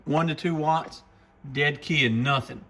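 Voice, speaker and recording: A man's voice: fairly high pitch, unhurried, a bit bright. A man giving a casual product demo in a folksy, enthusiastic way, like a shop presenter talking to viewers.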